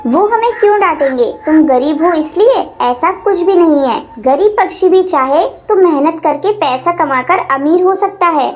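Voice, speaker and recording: Woman as a high-pitched friendly girl bird. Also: lively intonation, moderate pace, hardly any pauses. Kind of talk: children's cartoon dialogue. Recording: music under the voice.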